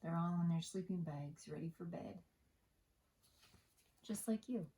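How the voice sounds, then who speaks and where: Woman doing bedtime storytime for little kids, slow singsong reading, roomy echo. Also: lowish voice, mellow, slight background noise. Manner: singsong, deliberate, with asides to listeners. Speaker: storytime host and reader. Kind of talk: bedtime picture-book read-aloud for young children. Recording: echoey room.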